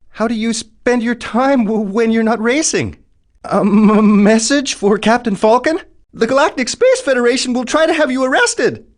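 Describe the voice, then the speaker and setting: A man playing an excitable TV reporter in a video game, putting interview questions to race winners in a showy announcer manner. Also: sits high. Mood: nervous, stammering.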